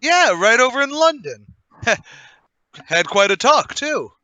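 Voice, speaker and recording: A man's voice: very high voice, very animated, slow pace, sharp tone, smooth, no echo, exaggerated voice. A man playing a chummy, probing old acquaintance in a radio play table read, familiar and insinuating. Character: smooth, chummy male old acquaintance, probing and falsely friendly.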